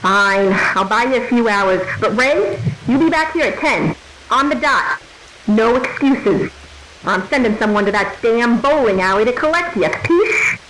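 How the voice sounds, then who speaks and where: A woman playing a brisk, no-nonsense, commanding 1950s police dispatcher in a noir radio drama. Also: fairly high pitch, lively intonation, background noise, close to an everyday voice. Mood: stern, exasperated.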